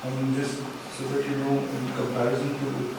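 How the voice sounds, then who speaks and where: Audience man, hesitant, filler-heavy delivery. Flat pitch; off-mic in a very echoey room with clear background noise. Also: moderate pace, recorded from a distance. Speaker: audience member. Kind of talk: spontaneous comment from the audience.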